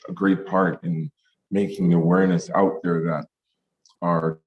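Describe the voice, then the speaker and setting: Deep-voiced Jamaican-born potter, halting speech, frequent restarts in an interview. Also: male voice, flat delivery, lo-fi sound. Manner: halting, many fillers and restarts. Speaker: ceramic artist. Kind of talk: online meetup interview.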